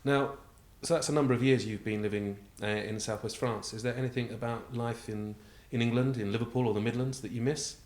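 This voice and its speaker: A man conducting an informal interview, asking questions in a measured, prompting way and paraphrasing what he hears, with a roomy, echoing sound. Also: medium pitch, steady tone, a little mellow.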